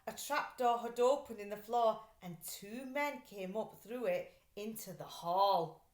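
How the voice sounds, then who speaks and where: A woman reading a story aloud for children in an expressive, deliberate, dramatic way. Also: medium pitch. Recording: roomy echo.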